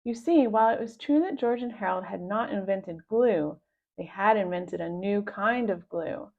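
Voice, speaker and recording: A woman reading a children's story aloud in an expressive storytelling style. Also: medium pitch, normal speed, creaky, narrow, tinny audio. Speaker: storybook reader.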